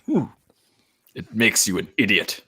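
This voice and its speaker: A man's voice: on the low side, very animated, unhurried, bright, forceful tone, husky, exaggerated voice. A man playing a cocky, wheedling military officer and dealmaker, smug and theatrical, at a comedic table read. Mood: smug, bargaining. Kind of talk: comedic live table read of a fan script.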